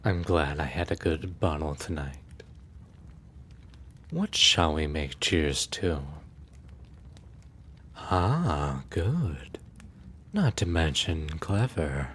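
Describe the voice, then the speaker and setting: A man's voice: rumbling low, sing-song, takes it slowly, pauses often, breathy edge, exaggerated voice. A man playing a suave, menacing immortal prince in an ASMR roleplay, speaking in a formal, courtly, teasing and possessive way. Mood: courtly, charmed.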